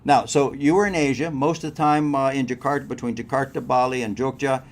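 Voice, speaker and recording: A man's voice: mid-range voice, steady tone, fairly quick, slight background noise. A man hosting a cruise news show and interviewing a guest, talking in a chatty way straight to his listeners. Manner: chatty, addresses crew members directly. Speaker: host.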